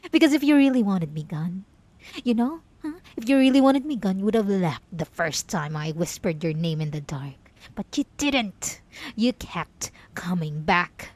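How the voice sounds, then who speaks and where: A woman's voice: mid-range voice, animated, measured pace, no room sound. A woman playing an obsessive caged killer. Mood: menacing. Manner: taunting, slipping from soft whispers to sudden outbursts. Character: obsessive, possessive young woman killer in a cell. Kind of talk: roleplay audio drama to the listener.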